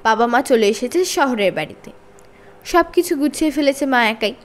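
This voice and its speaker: A woman reading a children's story aloud in expressive narration. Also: sits high, normal speed.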